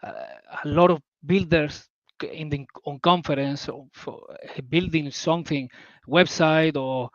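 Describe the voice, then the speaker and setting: A man's voice: fairly high pitch, steady tone, measured pace, few pauses, no room sound, lo-fi sound. A man from southern Spain with a Spanish accent, a native Spanish speaker talking as a guest on a community call, searching for English words with eager enthusiasm. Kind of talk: casual call talk.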